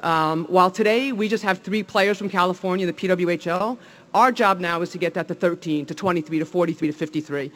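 A man's voice: high voice, fast talker, fairly bright tone. Upbeat New Jersey man giving a speech. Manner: enthusiastic, many fillers and restarts. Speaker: league executive. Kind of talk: public speech at a press event.

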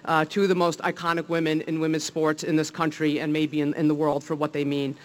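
Jersey guy league exec giving a speech, fairly high voice, lots of ums and restarts, pumped up. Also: completely flat delivery, a little fast. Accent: New Jersey. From New Jersey. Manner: enthusiastic, many fillers and restarts. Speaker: league executive. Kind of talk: public speech at a press event.

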